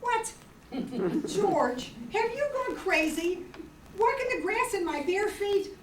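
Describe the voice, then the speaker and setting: High-pitched, echoey, coy young girl, played by an older woman. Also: lively intonation, slow, heavily acted. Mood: incredulous. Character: sweet, coy eighteen-year-old small-town girl. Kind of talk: amateur radio-play acting. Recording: echoey, distant room, faint noise.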